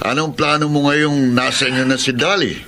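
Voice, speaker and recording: An actor with a Filipino accent playing an admiring, conniving drinking buddy in a chummy, chuckling radio drama style. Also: male voice, mid-range voice, fairly even intonation, normal speed, close to an everyday voice. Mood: sly, probing. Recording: clear background noise and ambience.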